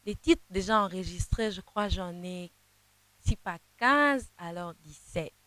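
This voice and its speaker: A young woman, a gospel singer whose first language is Kinande, answering interview questions in a halting way, often repeating words as she searches for them. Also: lowish voice, expressive, no room sound. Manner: sings softly in places.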